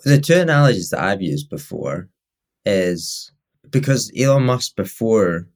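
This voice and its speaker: Guy, low voice, rambles on a podcast, lots of "like". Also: very animated, measured pace. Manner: rambling, with many "like" fillers and self-corrections. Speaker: podcast co-host. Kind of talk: casual chat.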